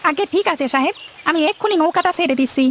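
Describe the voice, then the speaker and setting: A woman's voice: sits high, racing, a bit bright, slight background noise. A woman voicing a humble boatman in a cartoon, deferential and eager to please his master. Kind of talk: acted dialogue in a moral-story cartoon.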